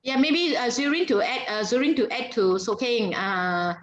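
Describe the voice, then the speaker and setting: A woman speaks on a video call in a higher-pitched voice. She often stops to search for the right word, and she repeats herself before finding it. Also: moderate pace, highs cut off. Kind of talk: casual video call chat.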